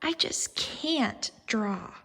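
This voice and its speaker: A woman's voice: a little high, lively intonation, extremely slow, gravelly, pushed and bright, husky, long reverb tail, full character voice. A woman voicing a stubborn young schoolgirl with a sulky, emphatic manner in a storybook read-aloud. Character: discouraged, stubborn schoolgirl turned proud young artist.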